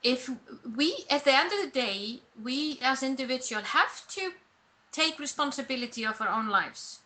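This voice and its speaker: A woman from Iceland, whose first language is Icelandic, answering interview questions as a personal branding coach, pausing and restarting as she thinks. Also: sits high, animated, bright, forceful tone, rough recording. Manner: reflective, pauses and restarts mid-thought.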